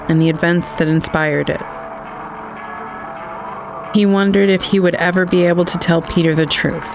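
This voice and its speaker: A man reading scripted literary narration for an audio drama in a measured storytelling manner. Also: fairly high pitch, gravelly, rough recording. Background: music.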